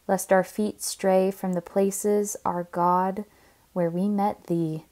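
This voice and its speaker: A woman reads verse aloud at a slow pace. Her delivery is solemn and deliberate, with measured phrasing and a medium-pitched voice. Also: a little mellow. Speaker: poetry reader. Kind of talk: solemn poetry recitation.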